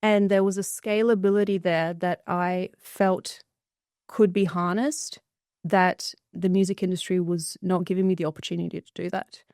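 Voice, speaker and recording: A woman's voice: fairly low pitch, little pitch movement, normal speed, darkish tone, dry recording, studio quality. A woman in her thirties, raised in Australia, telling her story fluently as a podcast guest. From Bulgaria, raised in Australia. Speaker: guest entrepreneur. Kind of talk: podcast interview.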